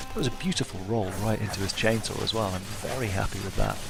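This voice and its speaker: A man's voice: on the low side, fast talker, creaky. A man giving casual playthrough commentary with wry, self-deprecating humour. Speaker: gaming video host.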